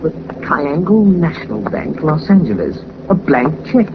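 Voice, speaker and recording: A deferential sidekick has a fairly high voice with very animated swings. He sounds polite and easily startled, in 1940s radio style on a noisy old recording. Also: unhurried, dull audio. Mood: puzzled, surprised. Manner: polite, questioning, excitable. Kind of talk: 1940s radio drama acting.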